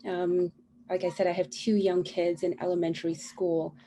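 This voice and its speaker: Casting: self-assured woman, warm low voice, kids faintly heard behind, video call. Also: level tone, moderate pace, slight background noise, rough recording. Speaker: school council candidate. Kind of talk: candidate forum answer over video call. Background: children fighting.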